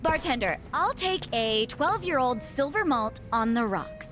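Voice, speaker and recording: A woman's voice: high voice, expressive, measured pace, fairly bright tone, faint music behind. An actress playing a sassy, confident woman in a video game cutscene, teasing and breezy. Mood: assertive.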